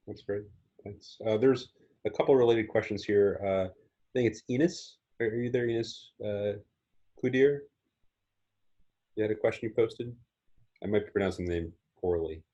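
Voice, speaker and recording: Husky low-voiced man moderating. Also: moderate pace, long pauses, mellow, muffled recording. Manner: hesitant, casual, with fillers. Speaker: seminar moderator.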